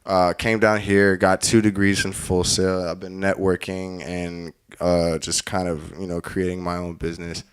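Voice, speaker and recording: A man's voice: deep voice, level tone, moderate pace, few pauses, clear, resonant tone. A man in his late twenties from the Caribbean, telling stories in casual chat, laughing often and acting out what others said. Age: 20s. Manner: storytelling, laughs often, acts out quoted speech. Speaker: guest music producer.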